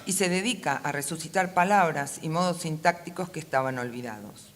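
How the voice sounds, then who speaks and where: A woman with a Rioplatense Argentine accent reads a prepared talk aloud in a measured, formal, literary manner as a panel speaker. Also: low-pitched. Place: conference room at a book fair. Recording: faint ambient event noise.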